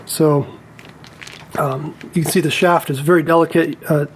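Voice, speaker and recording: A man with a slightly high voice talks through a hands-on repair as a technician would in a tutorial. He explains each step methodically and carefully, in a casual, unscripted way. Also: fairly even intonation, moderate pace, slight background noise.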